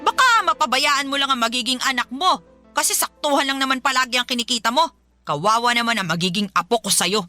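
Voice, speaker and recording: A woman's voice: sits high, lively intonation, fast talker, faint music behind, heavily acted. A woman playing a harsh, scornful mother in a Tagalog radio drama, scolding and badgering for money. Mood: scornful, nagging. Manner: scolding, sharp-tongued, badgering.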